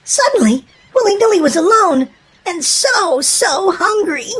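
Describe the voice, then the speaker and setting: Female children's story narrator, very high pitch, hugely expressive and dramatic, faint noise behind. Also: measured pace, rough recording.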